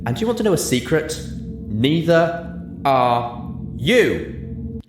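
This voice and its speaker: A man voicing a stern, fed-up female unicorn in a story reading, scolding and deliberate. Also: on the high side, very animated, reverberant, music in the background, voice pushed up. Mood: dangerous anger.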